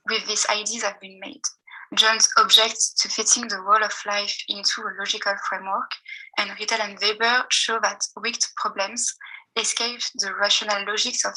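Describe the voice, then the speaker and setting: Bright-voiced woman reading a paper. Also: mid-range voice, low-quality recording. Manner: careful, measured reading with pauses between phrases. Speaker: PhD student presenter. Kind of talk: reading a seminar paper aloud.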